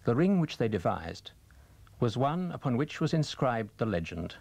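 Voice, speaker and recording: A man narrating a scripted story in a measured, deliberate and formal way. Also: mid-range voice, very animated, vocal fry, darkish tone, no room sound. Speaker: reader.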